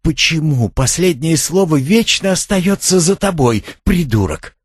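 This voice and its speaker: Man voicing an anxious, higher-pitched teenage girl. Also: expressive, breathy edge, dry recording, studio quality. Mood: annoyed. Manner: nervous, halting, protesting.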